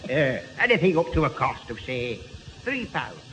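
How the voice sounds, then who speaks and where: A man's voice: mid-range voice, sing-song, moderate pace, fairly bright tone. An actor playing a fussy, suspicious, officious shopkeeper in a radio sitcom, piling up synonyms as he talks. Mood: businesslike. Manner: repeats himself in synonyms, officious. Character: fussy, suspicious, pedantic male toy shopkeeper. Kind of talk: 1970 radio sitcom acting. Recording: noisy old radio recording, long reverb.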